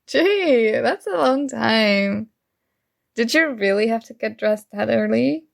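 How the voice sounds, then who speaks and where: A woman's voice: fairly high pitch, animated, takes it slowly, gravelly, no echo, clean studio sound, exaggerated voice. A woman acting a young roommate who is shy, teasing and smitten with a friend, in a playful, conversational ASMR roleplay.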